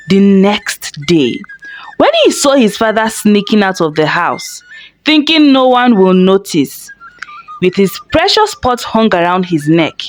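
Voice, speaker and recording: A woman narrating a children's folktale in an expressive, dramatic storytelling manner. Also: medium pitch, rough recording. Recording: faint background noise.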